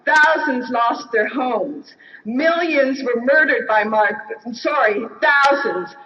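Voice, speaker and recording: A woman in her fifties speaks at a floor mic with a fairly high voice, earnest and building to forceful. The sound is distant and dull, with echo from a big hall. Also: slow. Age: 50s. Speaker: union delegate running for president. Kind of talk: impassioned convention floor speech. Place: large convention hall. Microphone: floor microphone.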